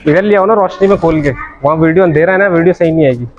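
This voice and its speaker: A man's voice: a little high, racing, dull audio. A man vlogging at an outdoor cattle pen, chatting and bargaining over prices with a seller. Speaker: vlogger touring a cattle market. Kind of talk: casual walk-around haggling chat.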